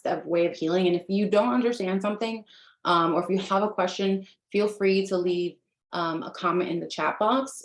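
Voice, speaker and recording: Earnest woman presenter with a gravelly voice. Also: lowish voice, reverberant. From United States. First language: Spanish. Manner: earnest, conversational, addresses the audience directly. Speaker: life coach and presenter. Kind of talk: online workshop presentation.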